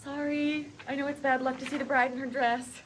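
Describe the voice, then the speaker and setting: A woman's voice: sits high, little pitch movement, brisk, slight background noise, close to an everyday voice, rough recording. An actress playing an effusive, sentimental woman among close friends, with an emotional, heartfelt delivery at a script read-through. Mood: eager.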